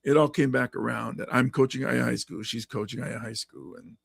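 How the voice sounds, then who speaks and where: Raspy, gravelly middle-aged man. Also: mid-range voice, fairly quick. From Hawaii. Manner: animated, laughs often, acts out quotes. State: hoarse from coaching. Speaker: podcast host and comedian. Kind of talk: casual podcast chat.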